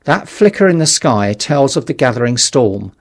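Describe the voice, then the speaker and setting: A man's voice: mid-range voice, sing-song, normal speed. A man voicing a sober, steadfast survivor in an audiobook story, firm and matter-of-fact as he exhorts. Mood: grave resolve.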